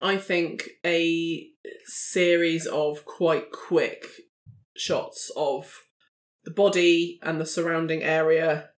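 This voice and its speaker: A woman's voice: low voice, level tone, takes it slowly. A woman chats as a player in a tabletop roleplaying game. Her phrasing is casual and tentative, as she works out choices aloud.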